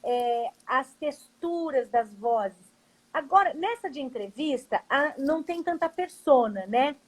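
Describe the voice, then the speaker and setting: A woman's voice: high-pitched, animated, unhurried, poor audio. A Brazilian woman, a theatre director, talking casually as an interview guest on a video call. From Brazil, Curitiba.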